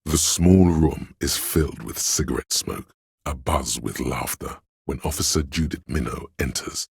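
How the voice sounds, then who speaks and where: A man voicing a brooding, omniscient narrator of weary police life in a detective game, with measured, grave, literary delivery and a gravelly, husky voice. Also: very deep voice, darkish tone, no echo, clean studio sound, full character voice. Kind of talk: dramatic literary scripted narration.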